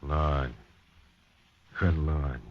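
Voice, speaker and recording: An actor playing a hard-boiled, shaken police lieutenant in a 1950s radio crime drama, speaking in terse sentences. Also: male voice, very deep voice, fairly even intonation, very slow, no room sound, full character voice. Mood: alarmed, brusque. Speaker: narrator and detective. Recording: muffled 1950s radio recording.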